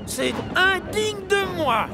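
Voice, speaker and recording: A man's voice: extremely high pitch, big pitch swings, slow, gravelly, over a noisy crowd, heavy background noise, full character voice. An actor voicing a proud, grumpy big male express engine in a French cartoon dub, with a haughty, complaining manner. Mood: indignant.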